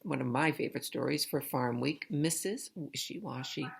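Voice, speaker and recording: A woman's voice: extremely low pitch, moderate pace, gravelly, breathy edge. A woman teacher reading a storybook aloud to young children, in an instructive way with questions for the listener.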